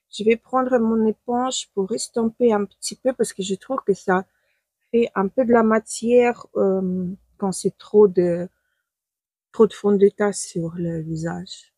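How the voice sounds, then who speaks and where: Slow, breathy Ukrainian woman. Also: a little high, no room sound. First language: not French. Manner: hesitant, searching for words, friendly. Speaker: beauty stylist and makeup vlogger. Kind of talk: casual makeup tutorial talk to camera. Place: at home, in front of her makeup.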